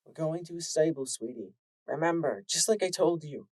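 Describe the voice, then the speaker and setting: Loving mother pony, high and hushed, begging softly, played by a man. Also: expressive, moderate pace, dry recording, poor audio. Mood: soothing. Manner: soft, pleading, frantic. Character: desperate loving mother mare.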